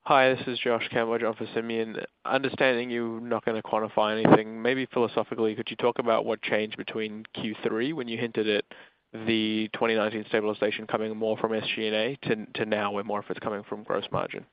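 A man's voice: on the low side, little pitch movement, fairly quick, no room sound. A man speaking as an analyst caller, asking a question on an earnings call. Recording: dull, dry phone-call audio.

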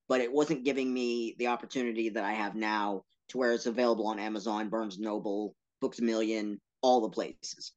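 A man talking as a podcast guest about his work, earnest and animated, with playful asides. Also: mid-range voice, lo-fi sound. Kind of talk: casual interview talk.

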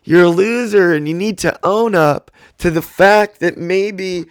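A man's voice: high-pitched, unhurried, no room sound, studio quality. Rambling young man hosting a podcast. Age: 20s. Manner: casual, rambling, frequent fillers and asides. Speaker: podcast host. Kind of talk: rambling solo podcast talk.

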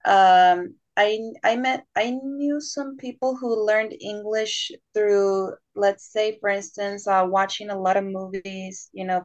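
A young woman born in Panama and raised in the United States, a guest chatting casually on a podcast and laughing often. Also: mid-range voice. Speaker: guest, language assistant. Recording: dull lo-fi sound.

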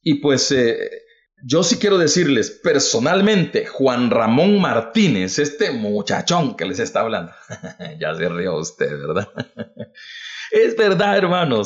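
Fervent man preaching, lively pitch. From El Salvador. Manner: fervent, calls listeners "brother", repeats rhetorical questions. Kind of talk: livestreamed devotional talk. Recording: dull lo-fi recording.

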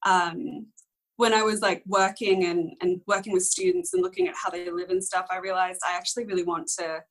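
A woman in her twenties, whose was Korean, speaks with a slightly low voice. She tells her story candidly in a casual interview, wandering and correcting herself along the way. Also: sing-song, fairly quick, rough recording. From Australia. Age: young adult. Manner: rambling, candid, many ums and self-corrections. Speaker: interview guest.

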